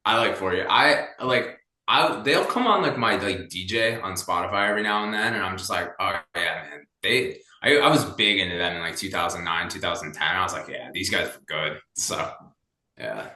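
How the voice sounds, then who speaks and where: A man's voice: low-pitched, animated, moderate pace, sharp tone. A man in his thirties chatting casually as a guest, laughing often and rambling with fillers. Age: 30s. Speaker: podcast guest. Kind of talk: casual chat. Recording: echoey room.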